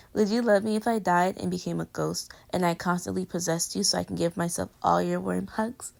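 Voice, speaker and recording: Woman acting a creaky-voiced, playful clingy girlfriend. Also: on the low side, steady tone, moderate pace, darkish tone. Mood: playful, affectionate. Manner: teasing, rambling, swinging from murmurs to exclamations. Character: playful clingy young girlfriend, teasing and affectionate. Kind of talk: intimate girlfriend roleplay audio, pillow talk.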